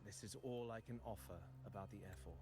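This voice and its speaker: An actor voicing a courteous, cryptic poet storyteller in a video game, speaking in a measured, formal, poetic way. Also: male voice, fairly low pitch, fairly even intonation, darkish tone, natural voice. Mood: humble, gracious. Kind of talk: scripted video game character dialogue. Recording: game music under the voice. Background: game background music.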